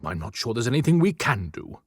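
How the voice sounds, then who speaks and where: A man voicing a stern older male leader, gruff and authoritative, in a cinematic audiobook. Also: mid-range voice, big pitch swings, fairly quick, dry recording, studio quality, full character voice. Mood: businesslike.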